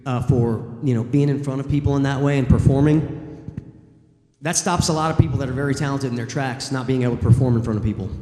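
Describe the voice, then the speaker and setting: A man with a mellow, dark tone speaks on a convention panel, thinking aloud and circling his points with lots of fillers. His voice rings out in a big hall with long reverb. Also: mid-range voice. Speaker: voice actor on a panel.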